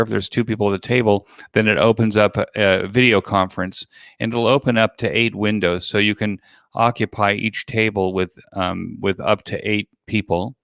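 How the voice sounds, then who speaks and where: A man's voice: on the low side, creaky, a bit bright, no room sound, studio quality. A man speaking as an interview guest and event organizer, explaining things conversationally, step by step.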